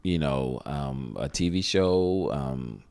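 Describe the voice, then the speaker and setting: A middle-aged man with a deep voice, a comedian chatting as an interview guest, telling stories with many restarts and acted-out quotes. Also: animated, takes it slowly, a little mellow, clear, resonant tone. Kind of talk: casual interview chat.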